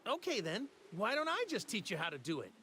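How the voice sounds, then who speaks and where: A man's voice: high voice, wildly swooping pitch, a little fast, pushed and bright, a little hiss or hum, exaggerated voice. An actor playing a cheerful, naive martial arts hero in an anime fighting game, a man with a boyish, easygoing, earnest manner. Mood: upbeat, earnest. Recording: music behind the voice.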